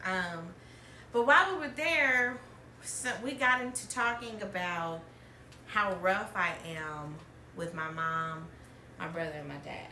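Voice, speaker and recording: Bright-voiced woman in her thirties. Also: on the low side, unhurried, a little hiss or hum. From Alabama, US. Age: 30s. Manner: chatty, laughs often, trailing restarts. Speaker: podcast host. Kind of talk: candid storytelling chat. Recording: roomy, distant mic.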